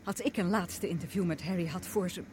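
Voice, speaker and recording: A woman's voice: on the low side, brisk, natural voice. An actress playing a headstrong, blunt woman journalist in a radio play, curt, challenging and emotional. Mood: cool, guarded. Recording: noisy radio play recording, car sounds. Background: car engine and road noise.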